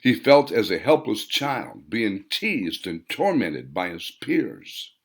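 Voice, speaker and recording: A man's voice: on the low side, very animated, slow pace, vocal fry. A man reading his own fiction as a dramatic audiobook narration, with emphatic, theatrical phrasing. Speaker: author reading own novel.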